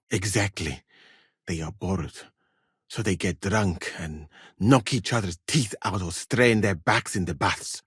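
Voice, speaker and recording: Courteous, deep-voiced scholar-physician. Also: male voice, a bit bright, breathy edge, no echo, studio quality, full character voice. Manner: measured, polite, formal, articulate. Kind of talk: video game dialogue acting.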